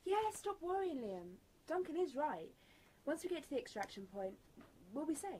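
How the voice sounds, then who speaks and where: Woman acting as high-pitched sarcastic young survivor. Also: very animated, normal speed, dark tone, slight background noise, low-quality recording. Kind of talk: amateur radio drama acting.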